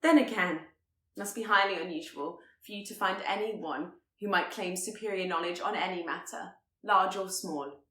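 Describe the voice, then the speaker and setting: A woman voicing a headstrong, sharp-tongued young gentlewoman in a period romance audiobook, formal and pointed. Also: fairly low pitch, normal speed, reverberant. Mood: indignant, sarcastic.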